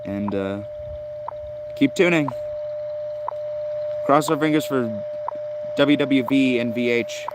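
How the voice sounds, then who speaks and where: A man hosting a hobby live stream, chatting casually and haltingly as he thinks aloud like a keen enthusiast. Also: medium pitch, very noisy. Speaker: host of a shortwave radio listening stream.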